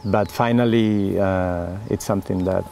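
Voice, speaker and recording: Slow, gravelly Chilean man, halting. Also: sits low, steady tone, warm tone, a little hiss or hum. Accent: Chilean Spanish. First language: Spanish. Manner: halting, many fillers and restarts, earnest. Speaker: winemaker interviewee. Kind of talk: oral history interview.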